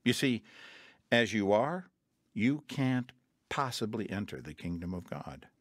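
An actor playing a patient mission counselor in a radio drama, talking calmly and earnestly and quoting scripture. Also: male voice, fairly low pitch, measured pace, no echo, clean studio sound. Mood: gentle. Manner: calm, earnest, quotes scripture.

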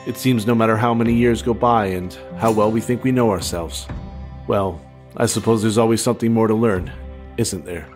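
A man with a lowish voice narrates an audio drama's closing. It is a scripted read addressed to the listener. Plucked guitar music plays clearly underneath. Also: fairly even intonation, normal speed, darkish tone. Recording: music behind, acoustic guitar.

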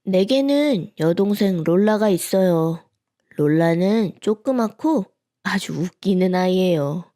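A woman hosting a children's storybook channel, gently and playfully talking to young listeners as friends. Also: lowish voice, expressive, a little slow. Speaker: children's book reader, channel host.

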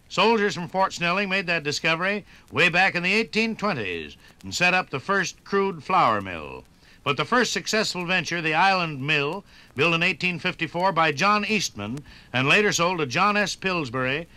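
A man's voice: a little high, bright, forceful tone, no room sound. A man with an American accent giving theatrical, declamatory narration in a 1950s radio documentary. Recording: 1950s radio record, faint music behind.

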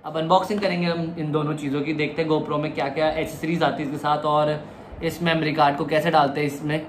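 A young man from India vlogging casually at home, chatting excitedly as he unboxes a camera and explains each step. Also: on the high side, fast, over loud music. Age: young adult. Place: room at home, indoors.

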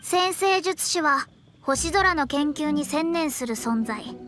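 A woman's voice: high-pitched, animated, slow. A woman voicing a proud young astrologer in a Japanese anime game, prim and polite but stammering when flustered. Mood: haughty, prim. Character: proud young female astrologer, easily flustered, putting on dignity. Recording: music behind the voice.

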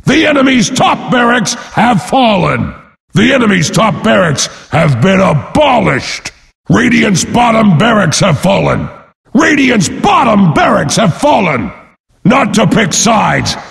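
A brash warrior brute announcing a video game battle. He bellows pompously at a raised, high pitch, drawing his words out slowly. Every line rings in a long, booming reverb. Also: very animated, vocal fry, a bit bright, shouting, exaggerated voice. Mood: booming, triumphant. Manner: bellowing, pompous, speaks of himself in third person. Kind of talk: bombastic game announcer lines.

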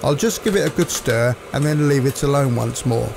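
A man, narrating cooking instructions in a measured, explanatory way. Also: medium pitch, animated, gravelly, background noise. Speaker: cooking presenter.

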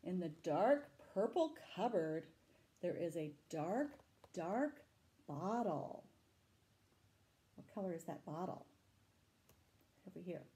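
A middle-aged woman reading a picture book aloud to children, dramatic and deliberate, adding asides and questions for her young listeners. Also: fairly low pitch, sing-song, mellow. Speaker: library storytime reader. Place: small room indoors. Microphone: camera a short distance away.

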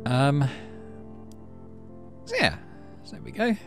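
A man hosting a gaming channel, low-key, casually commenting on play and reading story text aloud. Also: wildly swooping pitch, slow pace, music in the background.

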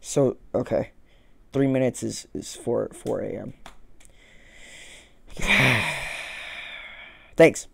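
A man's voice: mid-range voice, very slow, vocal fry, pauses often, a bit bright, breathy edge, no echo. A young man doing casual gameplay commentary, repeating short phrases to himself as he plays. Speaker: gaming video host. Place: small room at home, indoors. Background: game music.

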